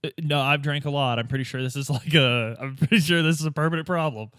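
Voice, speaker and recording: A man's voice: on the high side, quick pace, a bit bright, clean tone, no echo, studio quality. A man playing a tabletop game, chatting informally and asking questions. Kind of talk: casual chat.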